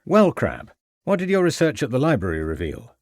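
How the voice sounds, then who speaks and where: A man's voice: medium pitch, huge pitch swings, a little fast, no room sound, studio quality, pitched above the performer's own voice. A man voicing an earnest, composed male police inspector who speaks formally.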